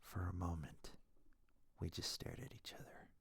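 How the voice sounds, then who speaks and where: A man softly telling a scary story in ASMR style, breathy and hushed, in short deliberate phrases close to the mic. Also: sits low, no echo. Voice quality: breathy, whispery, husky. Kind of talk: ASMR soft-spoken scary story narration. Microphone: close mic, dry.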